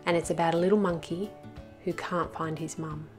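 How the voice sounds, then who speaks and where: A woman's voice: lowish voice, steady tone, brisk, darkish tone, soft background music. A woman storyteller presenting a picture book read-aloud to young children, addressing them directly.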